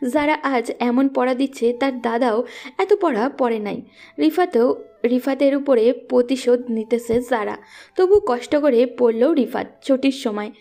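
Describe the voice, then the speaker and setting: Mellow, high-voiced woman narrating. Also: unhurried. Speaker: story narrator. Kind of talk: scripted romantic story narration.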